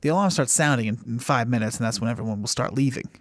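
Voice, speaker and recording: A man serves as game master for a tabletop game podcast. He improvises scenes in a conversational, mid-pitched voice, recorded close and dry. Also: rapid-fire, no echo.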